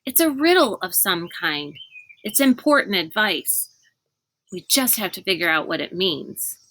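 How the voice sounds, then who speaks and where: A woman voicing a thoughtful young boy leader in a children's storybook, reasoning with a strained calm. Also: medium pitch, measured pace, slight background noise. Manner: reasoning, placating. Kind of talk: children's storybook read-aloud.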